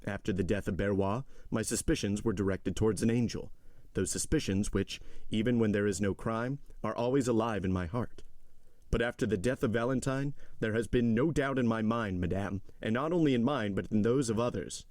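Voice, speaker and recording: A man's voice: fairly low pitch, fairly quick, a little mellow, no echo, lightly acted. A man voicing a stern, imperious magistrate in an audiobook drama, coldly accusing his wife with harsh, contemptuous command. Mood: grave, accusing. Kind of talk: dramatic audiobook character reading.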